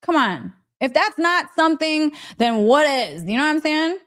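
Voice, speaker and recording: Gushing high-voiced woman, chatty and emphatic. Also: big pitch swings, normal speed, fairly bright tone. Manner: chatty, direct address with frequent check-in questions. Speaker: host of a live story session, spiritual teacher. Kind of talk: casual motivational live-stream storytelling.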